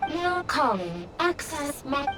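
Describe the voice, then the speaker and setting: A service robot guide in an anime game, high and unhurried with lively swings of pitch. It announces things formally over loud music. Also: female voice, exaggerated voice. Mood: informative. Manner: measured, announcing.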